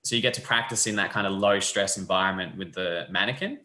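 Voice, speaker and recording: A young man, a physiotherapy lecturer explaining the course in a relaxed, friendly way over a video call. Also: low voice, fast talker. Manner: relaxed, friendly, loose fillers. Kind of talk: casual explanatory chat. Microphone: webcam on a video call. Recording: echoey room.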